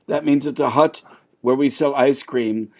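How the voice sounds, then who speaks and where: A man's voice: medium pitch, a little slow, darkish tone, close to an everyday voice. A man playing a flustered, exasperated ice cream shop owner interviewing applicants. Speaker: improv player.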